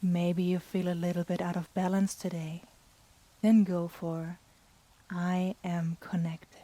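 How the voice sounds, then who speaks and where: Lady doing guided yoga nidra, lowish voice, super slow, soft breathy and soothing. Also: level tone. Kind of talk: guided meditation.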